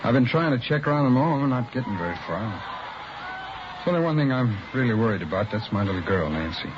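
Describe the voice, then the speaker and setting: A man's voice: medium pitch, animated, quick pace, close to an everyday voice. An American actor playing a distraught, evasive working man and father in a vintage radio crime drama, hesitant and plain-spoken. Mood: bitter, dejected. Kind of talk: mid-century radio crime drama acting. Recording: muffled, noisy vintage radio recording.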